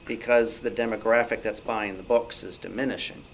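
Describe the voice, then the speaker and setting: A man's voice: fairly low pitch, steady tone, normal speed, creaky. Matter-of-fact man, faintly noisy recording. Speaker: author on a club panel. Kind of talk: explanatory panel talk. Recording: noisy live meeting room recording.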